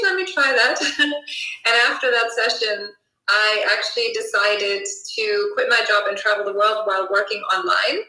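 A woman from Germany, a guest hypnotherapist chatting casually and pausing on lots of ums. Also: fairly high pitch, sharp tone, reverberant, poor audio. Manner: chatty, many ums and restarts. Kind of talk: casual podcast chat.

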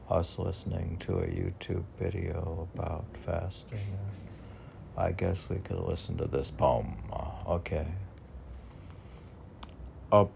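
A man talking casually and haltingly, musing and muttering to himself as he reads aloud. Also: low-pitched, creaky, a little mellow, a little hiss or hum. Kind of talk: casual rambling talk while reading poems aloud.

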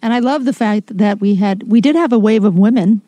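Woman co-host, darker mellow tone, dry close mic, easygoing chatty storyteller. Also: mid-range voice, a little fast, dry recording. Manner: warm storytelling, chatty with lots of "like". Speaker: podcast co-host. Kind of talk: casual chat.

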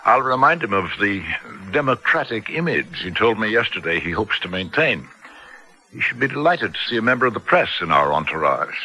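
An actor playing a courteous American diplomat in a radio drama, reasoning in a measured, diplomatic way. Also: male voice, on the low side, sing-song, poor audio.

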